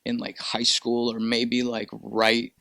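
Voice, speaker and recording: A man's voice: mid-range voice, completely flat delivery, takes it slowly, gravelly, sharp tone, no room sound. A young man from Peru, a musician answering interview questions in a loose, rambling way with lots of fillers and swearing. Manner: laid-back, rambling with many fillers and profanity. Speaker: musician guest. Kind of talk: casual interview.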